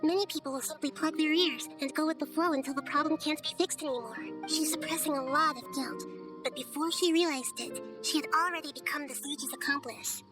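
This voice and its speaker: A woman voicing a wise, gentle little-girl god in a video game, speaking in a measured, thoughtful, articulate way. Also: high-pitched, over music, natural voice. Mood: calm, reflective. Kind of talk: scripted video game voice acting.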